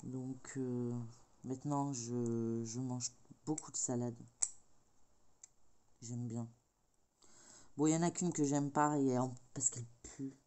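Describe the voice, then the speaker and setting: Middle-aged female crafter, mellow dark tone, a little stuffy from a cold, meandering chatty delivery. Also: extremely low pitch, steady tone, slow pace, long pauses. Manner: rambling, hesitant, many filler sounds. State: has a cold. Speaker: craft vlogger. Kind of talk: casual chat while crafting.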